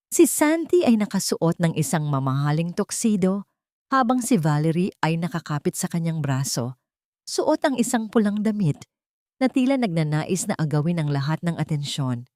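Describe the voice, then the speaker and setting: A Filipino woman reads dramatic fiction in a lowish voice. Her delivery is measured and expressive, with a literary storyteller's phrasing. The sound is close and dry, with very little room around it. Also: no room sound. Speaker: narrator of audio drama stories. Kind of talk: dramatic scripted storytelling narration.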